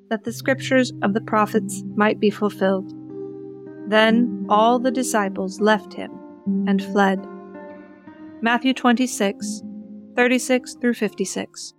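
A woman narrating, giving a dramatic reading from the Bible. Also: on the high side. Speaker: scripture narrator. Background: plucked guitar music.

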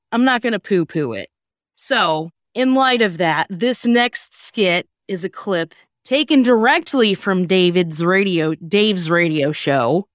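A woman's voice: mid-range voice, no room sound, clean studio sound. A woman hosting a podcast in a casual, comedic chat style, informal and full of slangy asides. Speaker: podcast host.

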